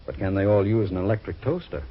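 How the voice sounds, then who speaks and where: An actor playing a droll, long-suffering waterfront priest in a 1940s radio drama, with a theatrical, complaining manner. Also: male voice, lowish voice, fast talker, over loud music, close to an everyday voice. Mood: wryly droll. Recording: muffled old radio recording.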